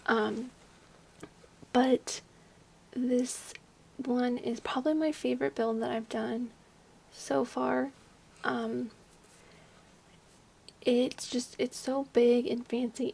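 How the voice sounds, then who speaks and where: Young woman, slightly hoarse, hushed home voice-over, chatty and rambling, a bit high, gravelly and breathy. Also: fairly even intonation, slow pace, pauses often. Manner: rambling, chatty, many fillers; hushed, keeping quiet at night. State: slightly hoarse, losing her voice. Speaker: video creator. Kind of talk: casual voice-over commentary on a game build. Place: home at night, at a desk.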